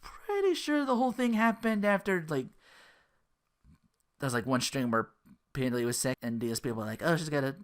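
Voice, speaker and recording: A podcast host giving casual, exasperated and sarcastic commentary in an animated way, swearing freely. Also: medium pitch. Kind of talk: casual ranting commentary about an internet personality.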